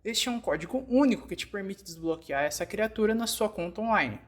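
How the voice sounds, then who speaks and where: A woman's voice: medium pitch, lively intonation, a little fast. A woman with a Brazilian accent reads scripted instructional narration, explaining things step by step as in a fan-dubbed tutorial.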